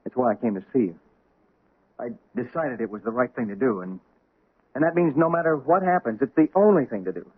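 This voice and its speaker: An actor playing a nervous, awkward husband who stammers and hesitates. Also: male voice, medium pitch, animated, fairly quick, slightly rough. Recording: tinny old radio broadcast.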